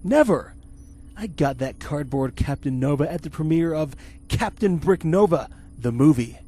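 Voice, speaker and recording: An actor playing a vain, bombastic, incompetent spaceship captain in a campy 1950s-style space radio serial. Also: male voice, on the high side, animated, normal speed, warm tone. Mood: pompous, self-important. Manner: pompous, theatrical.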